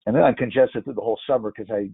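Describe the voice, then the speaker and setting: A man's voice: mid-range voice, rapid-fire, vocal fry, breathy edge, no echo. A man with a congested, stuffy nose giving casual market commentary, rambling and thinking aloud with lots of restarts. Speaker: market analyst. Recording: tinny phone-like dry audio.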